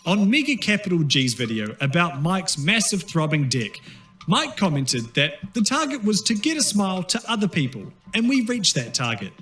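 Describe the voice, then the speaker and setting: High, animated Kiwi man narrating wryly. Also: normal speed, faint music behind. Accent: New Zealand. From New Zealand. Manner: wry, tongue-in-cheek. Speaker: video essayist. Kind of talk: scripted comedic narration.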